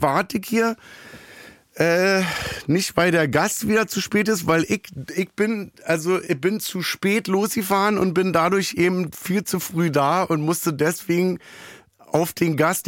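A hoarse man, hosting a podcast in a rambling, self-mocking comic monologue full of halting fillers. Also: a little high, dry recording, studio quality. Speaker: podcast host.

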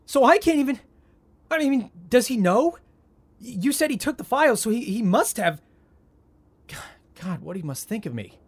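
A husky-voiced man in his thirties as a rich family's volatile heir in an audio drama. His pitch swings widely as he stammers and pushes his words out with wounded indignation. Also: big pitch swings, moderate pace, long pauses, full character voice. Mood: agitated, hurt. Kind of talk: naturalistic audio drama acting.

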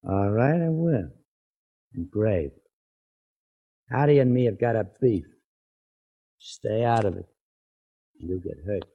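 A man's voice: lowish voice, animated, takes it slowly, long pauses, muffled recording, full character voice. An actor playing a menacing, cocky gambling boss in a 1940s radio crime drama, with slangy, needling tough-guy talk. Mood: quietly threatening.

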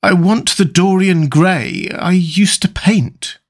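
A man's voice: high-pitched, sing-song, moderate pace, a bit bright, voice pushed up, exaggerated voice. A man reading a classic novel aloud, voicing an earnest, morally shocked older painter who speaks with pained, emphatic reproach. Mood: sad.

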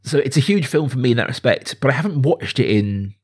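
A man's voice: mid-range voice, lively intonation, quick pace, slightly rough. A man, talking casually and with rambling enthusiasm as a film podcast host. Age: 30s.

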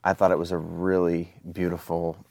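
Low gravelly guy hosting a podcast, thoughtful questions, dry close sound. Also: completely flat delivery, moderate pace, darkish tone, dry recording. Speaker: podcast host. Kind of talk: podcast interview.

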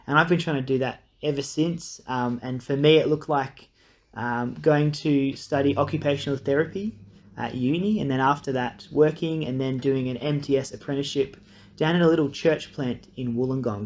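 A man's voice: medium pitch, moderate pace, muffled recording, slight background noise. A man, a new pastor, introducing himself in a friendly, earnest way with many ums. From Australia. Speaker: incoming pastor. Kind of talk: casual video introduction.

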